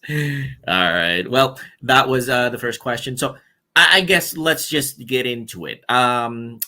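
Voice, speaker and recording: A man's voice: mid-range voice, takes it slowly, bright, forceful tone. A man co-hosting a casual interview show, talking conversationally. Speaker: show co-host.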